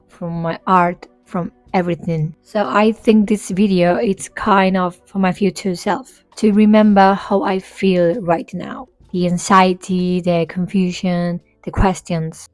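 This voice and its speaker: Young spanish woman talking softly to camera, english isn't her first language, dry close sound, bit of quiet music behind. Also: on the low side, dry recording. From Spain. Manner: reflective, soft-spoken and candid. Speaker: vlogger and independent singer. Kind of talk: improvised personal talk to camera.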